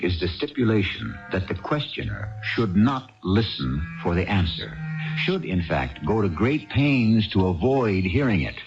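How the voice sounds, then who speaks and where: Deep male host narrating old radio drama, expressive, music behind, muffled broadcast sound. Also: vocal fry. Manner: reflective, rhetorical, deliberate pauses. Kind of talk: scripted narration for 1970s radio. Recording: dull 1970s radio broadcast recording.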